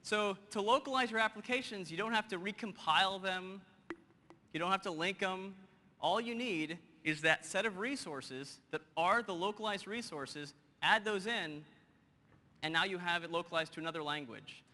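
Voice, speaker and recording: Energetic man demoing software on a stage. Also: sits high. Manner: energetic, quick and joking with the audience. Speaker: presenter. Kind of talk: live software demo on stage. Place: large conference hall stage. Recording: hall reverb, faint noise.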